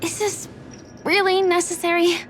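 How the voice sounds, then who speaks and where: An actress playing a gentle, sincere, determined young woman in a video game, soft-spoken and earnest. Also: very high voice, little pitch movement, normal speed, music in the background. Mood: puzzled, wary.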